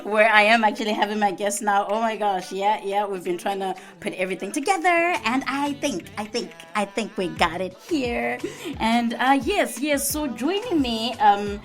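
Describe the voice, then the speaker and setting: A woman hosting a casual live radio show, excitable and chatty, repeating herself with plenty of fillers. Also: medium pitch, normal speed. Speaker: radio host. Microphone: live stream studio mic.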